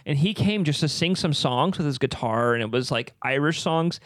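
Young male co-host, loose storyteller who laughs easily, slightly high voice, dry close mic. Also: lively intonation, normal speed, a bit bright, dry recording. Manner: rambling storyteller, laughs often, lots of fillers. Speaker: podcast co-host. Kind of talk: casual chat.

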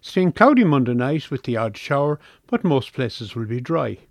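Man, Irish accent, radio weather reader, scripted forecast, medium pitch, dry sound. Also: big pitch swings, moderate pace, no echo.

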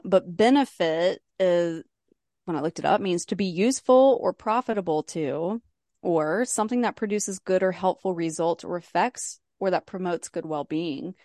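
Earnest low-voiced woman podcast host. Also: darkish tone, dry recording, studio quality. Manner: earnest, emphatic, leading the discussion. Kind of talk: casual recovery podcast talk.

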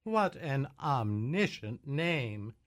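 A man's voice: mid-range voice, very animated, drawn-out words. A man playing an aloof pop artist in a comic radio play, making oracular pronouncements.

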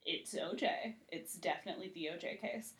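A woman's voice: lowish voice, normal speed. An American woman speaking as a TV critic guest, informal and conversational, often saying "like". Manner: informal, frequent "like". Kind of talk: casual interview chat.

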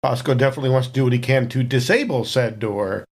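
A man's voice: medium pitch, fast talker. A man playing a tabletop RPG, chatting casually with dry, wordy humour. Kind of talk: casual, animated game-table chat.

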